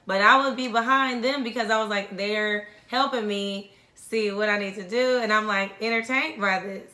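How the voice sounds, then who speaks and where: A bright-voiced woman co-hosts a casual podcast, recalling events with frequent laughter and a quieter tone in her reflective moments. The sound is roomy and echoing, as if recorded in a bare room. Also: mid-range voice, steady tone, normal speed. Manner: laughs often, drops softer when reflecting. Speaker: podcast co-host. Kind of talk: casual storytelling chat. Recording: roomy echo.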